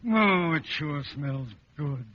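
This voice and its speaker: A man's voice: fairly high pitch, lively intonation, slow pace. An actor playing an irritable, hungry middle-aged father with an American accent in a vintage radio comedy, exasperated and theatrical. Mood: indignant. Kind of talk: 1950s American radio sitcom acting. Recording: muffled, noisy old radio recording.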